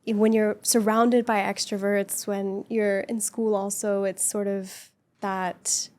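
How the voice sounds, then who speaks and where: A woman guest on a podcast, speaking in a soft, gentle voice in an earnest, reflective, casual conversation. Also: medium pitch, level tone, takes it slowly. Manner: earnest, reflective chat with frequent laughs.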